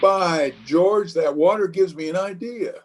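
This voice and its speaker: An actor playing a blustery, pompous, scheming middle-aged water commissioner, hammy and exclamatory, in an old-time radio comedy. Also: male voice, high-pitched, a little slow.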